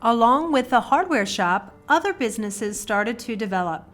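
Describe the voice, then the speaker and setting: A woman's voice: a little high, soft background music. Woman narrating a local documentary. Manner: steady, measured reading.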